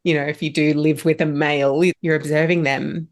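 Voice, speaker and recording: Lower-pitched woman hosting a podcast. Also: level tone, brisk. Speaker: podcast host and interviewer. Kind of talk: scripted introduction and friendly interview chat.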